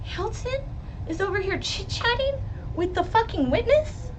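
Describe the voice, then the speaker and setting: A woman lawyer with a loud voice, hosting a live stream about a trial and reading chat questions. She talks bluntly, swears freely and laughs often. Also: extremely high pitch, lively intonation, a little slow, background noise. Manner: blunt and profane, with frequent laughs. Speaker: lawyer and legal commentator.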